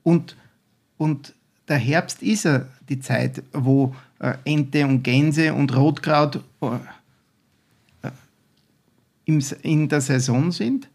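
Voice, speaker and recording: Gravelly Austrian older man. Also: a little high, slow pace, pauses often, darkish tone. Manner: enthusiastic, rambling storyteller with many restarts. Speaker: guest chef. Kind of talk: casual podcast chat.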